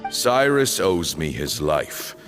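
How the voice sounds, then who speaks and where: Slow, deep old temple leader. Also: male voice, very animated, over music, exaggerated voice. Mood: coolly menacing. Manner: formal, grandiose, accusatory oratory. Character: stern old male leader of a secretive temple, grave and grudge-bearing. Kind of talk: dramatic video game voice acting.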